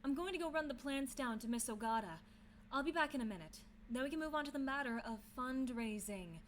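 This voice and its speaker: A woman's voice: high-pitched, expressive, fairly quick. A woman playing a composed, exacting young student council president in an audio drama, businesslike and in charge.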